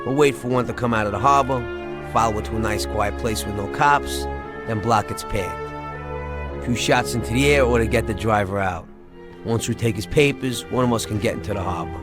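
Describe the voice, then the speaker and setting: An actor playing an excitable, scheming small-time American gangster in a video game, talking in streetwise slang. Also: male voice, fairly low pitch, fairly quick, over music, natural voice. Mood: businesslike. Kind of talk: video game cutscene acting.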